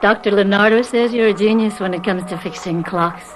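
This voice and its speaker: A woman's voice: medium pitch, a little fast, faint music behind, close to an everyday voice, poor audio. An actress in a radio drama playing a devoted, patient woman who speaks soothingly to her troubled sweetheart. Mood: soothing, tender.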